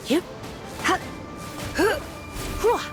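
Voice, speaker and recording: A woman voicing a young female fighter, giving short grunts and shouts in combat. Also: drawn-out words. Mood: fighting. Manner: sharp battle grunts and shouts. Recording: game audio with music and hits.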